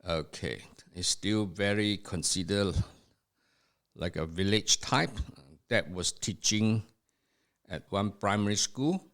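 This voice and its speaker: An older man from Singapore reminisces about his family's past in a podcast conversation. His voice sits very low and deep, and he talks at an unhurried, slow pace. He pauses often, restarting his sentences and filling the gaps with "uh" as he goes. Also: fairly bright tone. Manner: slow, halting storytelling with many fillers and restarts. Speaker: podcast guest, host's father. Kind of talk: family history interview, reminiscing.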